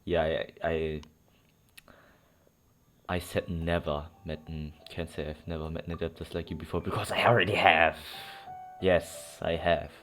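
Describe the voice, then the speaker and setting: A man's voice: extremely low pitch, flat delivery, moderate pace, a bit bright. A man giving casual, unscripted playthrough commentary as a game streamer, sometimes reading dialogue aloud. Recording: clear game music behind voice. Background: game music.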